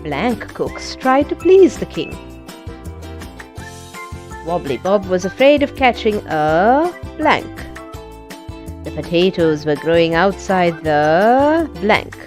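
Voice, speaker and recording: A woman reading comprehension questions for children in a measured, instructional way. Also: fairly low pitch, sing-song, a little mellow, soft background music. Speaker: quiz presenter.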